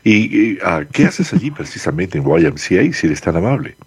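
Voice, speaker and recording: Deep, lively male radio host. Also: brisk, husky. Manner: courteous, encouraging, repeats phrases. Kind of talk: radio interview.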